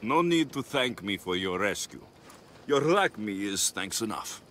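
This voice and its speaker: A man's voice: fairly low pitch, sing-song, unhurried, background noise. A man playing a confident mercenary hero with a dry, sardonic manner in a video game. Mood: wry, curious. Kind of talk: video game dialogue acting. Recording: music and crowd bustle behind voice.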